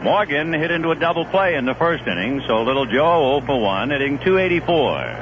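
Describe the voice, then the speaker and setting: A man calls a baseball game from the broadcast booth, his fairly high voice leaping up and down as plays unfold and settling into chatty analysis between pitches. The sound is a dull, hissy old AM radio recording. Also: big pitch swings, a little fast. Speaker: play-by-play announcer. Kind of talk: live baseball radio commentary.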